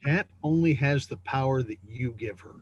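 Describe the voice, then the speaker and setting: A man playing a cautious, firm and supportive parent of a teenager in a screenplay table read, with a husky voice. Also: medium pitch, normal speed, muffled recording, natural voice. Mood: earnest, steady.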